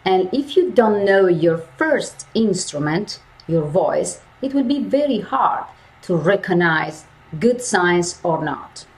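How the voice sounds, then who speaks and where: Slow, emphatic woman voice coach. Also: on the low side, lively intonation, poor audio. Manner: slow, emphatic, repeats key phrases. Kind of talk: lecture to university students.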